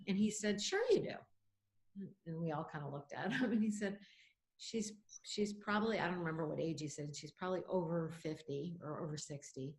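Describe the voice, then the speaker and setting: A woman's voice: sits low, normal speed, poor audio. A middle-aged woman co-hosting a podcast, musing thoughtfully in casual chat and laughing often. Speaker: podcast co-host.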